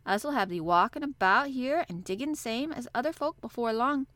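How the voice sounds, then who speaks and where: A cheerful Yorkshire lad performed by a woman narrator talks in stout, encouraging dialect. He is a reassuring storybook country boy, heard in a dry, slightly high voice. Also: lively intonation, normal speed, a bit bright, no room sound.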